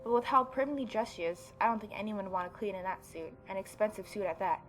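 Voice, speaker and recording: A woman's voice: mid-range voice, fast talker, slight background noise. Young woman gamer, casual and offhand. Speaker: gaming YouTuber. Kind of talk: casual let's play with reading aloud.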